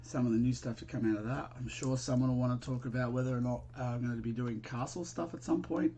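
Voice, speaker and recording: An Australian man chats casually as a live stream host, his voice sitting at a medium pitch. He rambles and hesitates as he thinks aloud, addressing viewers in a friendly, informal way. Music plays behind him. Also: fairly even intonation, fast talker, mellow. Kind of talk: casual chat while building, answering viewers.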